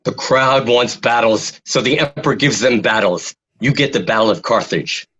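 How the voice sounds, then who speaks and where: An actor playing a blunt Roman games official in a script reading, husky, on a muffled recording. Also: male voice, mid-range voice, normal speed, a bit bright.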